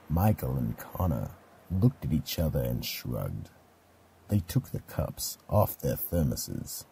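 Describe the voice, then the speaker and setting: Male mystery-theatre narrator: very low, husky and creaky, slow, ominous scripted storytelling. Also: dark tone, slight background noise.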